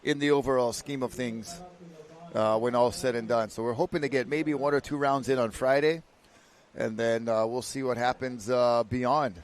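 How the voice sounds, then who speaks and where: A man from Hawaii, giving relaxed live surf contest commentary and slipping into local pidgin slang. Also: medium pitch, moderate pace. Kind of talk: live sports commentary, casual banter.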